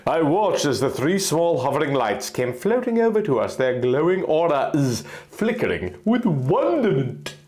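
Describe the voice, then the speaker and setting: Animated man narrating a dramatic fantasy story. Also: fairly high pitch, a little slow, few pauses. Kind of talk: dramatic scripted fan-fiction narration.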